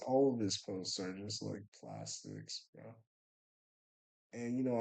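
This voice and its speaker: A man's voice: on the low side, a little mellow, low-quality recording. A young man telling a rambling story in a laid-back, casual way, filling it with "bro" and "you know" and often trailing off into a mumble. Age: young adult. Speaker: vlogger.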